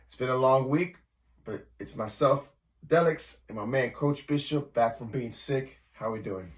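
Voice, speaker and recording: A man co-hosting a sports podcast, going over game matchups in a relaxed chatty way with lots of fillers. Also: medium pitch, a little slow, recorded from a distance. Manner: relaxed, talks through stats with fillers. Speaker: podcast co-host. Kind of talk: casual sports podcast chat.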